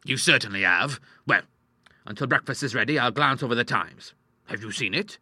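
A man's voice: mid-range voice, normal speed, bright, forceful tone, slightly rough, dry recording, clean studio sound, heavily acted. A man voicing a courteous, fussy middle-aged doctor and father who is easily ruffled, in an audiobook. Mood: vexed, dry. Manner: proper, courteous, exclamatory when vexed. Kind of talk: audiobook character voice.

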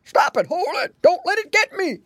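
A woman's voice: a little high, sing-song, measured pace, fairly bright tone, no room sound, exaggerated voice. A woman voicing a kindly, blustery old grandfather pig in a storybook, grunting and emphatic. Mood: alarmed.

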